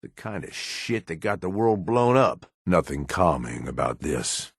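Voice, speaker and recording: An actor voicing a gruff, cynical middle-aged American raider lieutenant in a video game, blunt and profane with a folksy, slangy drawl. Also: male voice, deep voice, very animated, measured pace, no room sound, clean studio sound, full character voice. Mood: wary, matter-of-fact. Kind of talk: video game companion dialogue.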